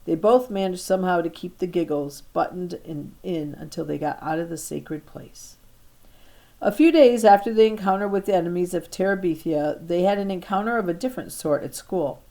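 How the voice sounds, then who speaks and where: A woman teacher reading a storybook aloud to her class, expressive, now and then stumbling and repeating a word. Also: lowish voice. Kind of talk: storybook narration read aloud.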